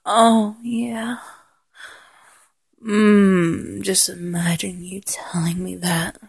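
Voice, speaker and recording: Slow, breathy whispering woman. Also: mid-range voice, little pitch movement, no echo, clean studio sound. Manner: slow, teasing murmur with lingering pauses and sighs. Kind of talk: intimate erotic ASMR-style roleplay addressed to the listener.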